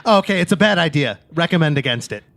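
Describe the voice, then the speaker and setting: A man's voice: on the high side, animated, fast, gravelly, fairly bright tone, pitched above the performer's own voice. A man playing an irritable, sarcastic dwarf gunman in a tabletop game, curt and foul-mouthed.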